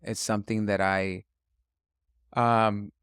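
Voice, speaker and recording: A man with a fairly low, clear and resonant voice talks as a chef being interviewed. He is from Northern California and speaks casually and earnestly, often pausing and circling back as he thinks. The sound is close and dry. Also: level tone, a bit bright, no room sound. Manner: earnest, halting, reflective. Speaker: chef and restaurant guest.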